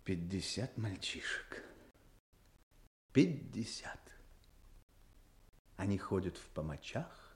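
An actor playing a restless, bookish young village schoolteacher in a 1960s radio drama, pensive and halting in delivery. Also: male voice, medium pitch, a little mellow. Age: young adult. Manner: pensive, halting, reciting verse.

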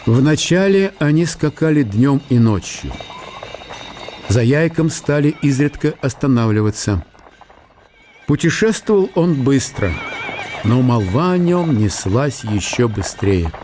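A man with a medium-pitched voice narrates historical fiction for a radio play. He tells it in measured, short phrases. There is a faint layer of ambient noise behind him. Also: big pitch swings, a little mellow. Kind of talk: literary scripted narration of a historical story.

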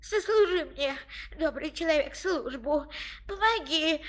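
An ancient fairy-tale granny with a high-pitched voice. She speaks in a plaintive, folksy, grateful way, in the manner of a children's audio play. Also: lively intonation, unhurried.

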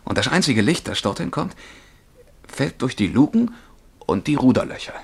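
An actor playing a dreamy, excitable young male bank clerk and would-be poet in a German radio play, fervent and absorbed as he describes things vividly. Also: mid-range voice, moderate pace, vocal fry, husky, heavily acted. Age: young adult.

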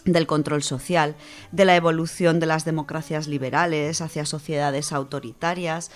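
Low-voiced woman explaining on radio. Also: steady tone, normal speed, faint music behind. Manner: thoughtful, with frequent hesitations and restarts. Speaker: radio host presenting a book segment.

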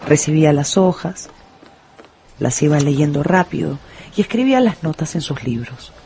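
A man's voice: on the high side, animated, normal speed, warm tone, a little hiss or hum. A man narrating a scripted story dramatically for radio. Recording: music behind the voice.

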